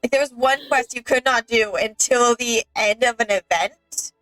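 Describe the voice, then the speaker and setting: A woman's voice: a little high, moderate pace, fairly bright tone, faint music behind, poor audio. A young adult woman chatting casually over a game, eagerly explaining details as a co-host. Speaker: gaming co-host. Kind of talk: casual gameplay chat.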